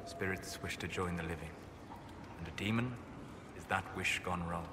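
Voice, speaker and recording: An actor playing a calm, scholarly elven mage in a fantasy game, speaking in a measured, formal, lecturing manner. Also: male voice, deep voice, background noise, lightly acted. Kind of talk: scripted fantasy game dialogue.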